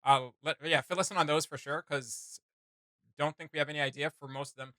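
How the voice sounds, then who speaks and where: A man with a bright, forward voice pitched a little high gives casual reaction commentary. He rambles in slangy, enthusiastic fan talk, and the sound is close and dry. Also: fairly even intonation, fairly quick, dry recording. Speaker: reaction video host.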